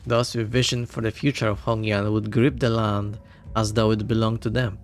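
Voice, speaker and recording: A man's voice: lowish voice, little pitch movement, moderate pace, slight background noise, close to an everyday voice. A man reading aloud as a carefree, wandering old martial arts master who drinks, telling his story at a leisurely pace. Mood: wistful.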